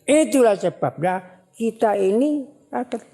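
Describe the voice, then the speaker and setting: A woman religious teacher giving a sermon at a ceremony, speaking slowly and repeating phrases for emphasis. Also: on the high side, lively intonation, creaky, warm tone. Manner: slow, repetitive, emphatic preaching. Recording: long hall reverb.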